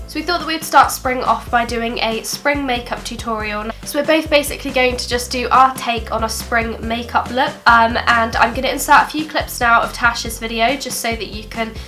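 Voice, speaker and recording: A woman's voice: a little high, fairly even intonation, normal speed, few pauses, sharp tone, soft background music. A young woman with a stuffy head cold, chatting through a makeup tutorial at her desk with plenty of ums. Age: young adult. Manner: chatty, friendly, many ums. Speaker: beauty vlogger. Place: bedroom desk indoors.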